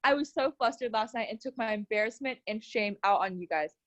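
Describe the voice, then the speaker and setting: High-voiced woman reading a story aloud. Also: brisk, fairly bright tone, highs cut off. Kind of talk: scripted narration read aloud.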